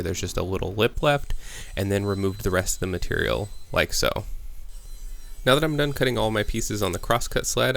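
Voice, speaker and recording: A man's voice: deep voice, creaky, a bit bright. A man giving a casual, plain-spoken how-to voice-over, explaining step by step as a maker hosting his own video. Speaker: woodworking maker and host.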